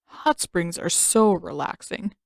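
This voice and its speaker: A woman acts as a genial old general from a fantasy audiobook. He takes his time over each phrase, relaxed and easygoing, in a dry recording. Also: lowish voice, gravelly, dry recording. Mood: relaxed, sleepy. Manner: unhurried, genial delivery.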